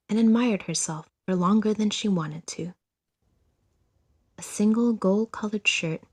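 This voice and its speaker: A woman reading scripted literary narration for an audiodrama in a measured, deliberate storytelling manner. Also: mid-range voice, a little mellow.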